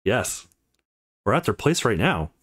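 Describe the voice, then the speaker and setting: A young college man acted by a male reader, blunt and guarded. He belongs to a visual novel game and speaks with lively swings in pitch. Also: a little slow, full character voice. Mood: exasperated.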